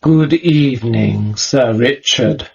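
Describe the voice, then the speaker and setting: An actress playing a regal, imperious old mother with a stately, measured delivery in a radio drama. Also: a bass voice, vocal fry, a little mellow, husky, dull audio, heavily acted. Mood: gracious, stately. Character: regal, blind old mother with hypnotic command.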